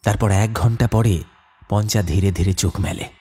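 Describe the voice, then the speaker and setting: A man's voice: fairly low pitch, fairly even intonation, normal speed, darkish tone. A man telling a scripted horror story as a dramatic narrator. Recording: clear background noise, ambience.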